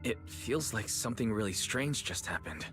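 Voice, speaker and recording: An actor playing a caring young team leader in a video game, encouraging and concerned in manner. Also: male voice, on the low side, lively intonation, normal speed, natural voice. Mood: thoughtful. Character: earnest, caring young man leading a team. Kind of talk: English video game voice acting. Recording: music behind the dialogue.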